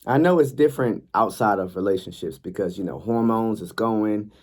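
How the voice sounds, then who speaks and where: A young man vlogging in a laid-back, chatty way, sounding a bit congested and raspy from a night of yelling. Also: on the low side, normal speed. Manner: laid-back, chatty, frequent ums and likes. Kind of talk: casual vlog talk, reading posts aloud.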